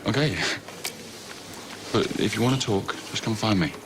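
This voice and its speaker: A man acting as a soft-spoken colleague offering reassurance. His voice sits low, with a clear hiss behind it. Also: moderate pace, close to an everyday voice. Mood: concerned. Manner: gentle, reassuring. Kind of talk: television soap drama acting.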